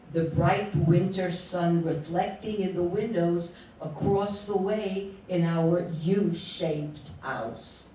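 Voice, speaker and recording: A woman with a dark, soft-edged tone reads poetry very slowly. The recording is dull and distant, with a heavy room echo. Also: fairly low pitch, a little hiss or hum. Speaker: poet reading own work. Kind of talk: poetry reading. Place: indoor literary meeting room.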